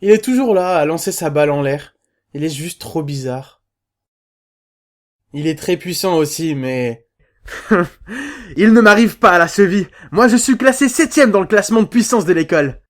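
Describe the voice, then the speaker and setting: A man's voice: high voice, lively intonation, normal speed, long pauses. A man playing a boastful, chatty dormitory chief with a casual, self-satisfied manner in an anime fan dub. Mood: smug, amused.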